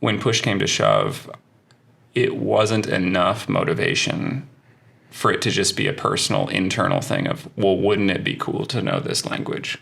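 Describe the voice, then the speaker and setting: A man's voice: low voice, sing-song, moderate pace, creaky, fairly bright tone, breathy edge. A man talking as an interview guest, a language teacher musing thoughtfully and hedging as he reflects. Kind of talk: casual interview conversation.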